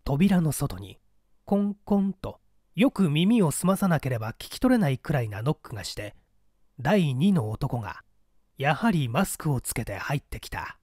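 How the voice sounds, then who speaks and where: A man reading a mystery story as a scripted, dramatic narration in a deliberate, theatrical storytelling manner. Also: a little high, very animated, mellow, husky, no room sound, studio quality. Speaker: audiobook narrator.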